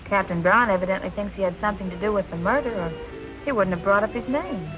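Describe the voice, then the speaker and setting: A woman's voice: medium pitch, quick pace, highs cut off, lightly acted. An actress playing a determined, plucky young woman sleuth in a 1940s film noir style. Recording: old film soundtrack with music behind.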